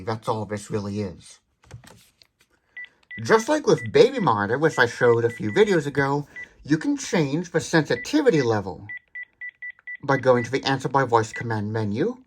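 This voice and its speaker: A man presents a hands-on product demonstration in a very lively, varied intonation. His voice sits at a middling pitch. He explains each step carefully and thinks aloud, correcting himself as he goes. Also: breathy edge. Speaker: host of a phone gadget demonstration channel.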